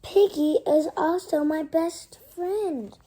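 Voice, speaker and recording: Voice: very high voice, takes it slowly, dark tone, slight background noise. A young child reading a picture book aloud expressively, acting out characters and adding asides. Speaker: storybook reader.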